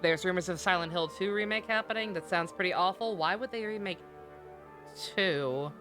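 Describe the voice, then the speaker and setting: A woman's voice: lowish voice, moderate pace. A woman streaming a game live, giving casual commentary in a sarcastic, profanity-laced way. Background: game music.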